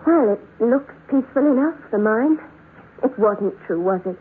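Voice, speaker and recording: A woman's voice: a little high, expressive, moderate pace, over loud music, close to an everyday voice. An actress playing a nervous, curious woman visitor, hesitant and questioning, in a 1960s radio drama. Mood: uneasy. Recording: noisy, muffled old radio recording.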